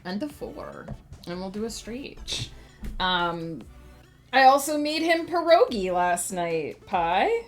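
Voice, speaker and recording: A woman's voice: mid-range voice, very animated, slow, soft background music. A woman author chatting casually on a livestream, thinking aloud and rambling with lots of fillers, a little sniffly. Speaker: author streaming her writing process.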